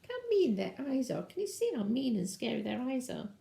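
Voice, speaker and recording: A woman's voice: high voice, sing-song, brisk, creaky, warm tone, echoey room. A woman reading a children's storybook aloud expressively, in a sing-song way, with asides to young listeners.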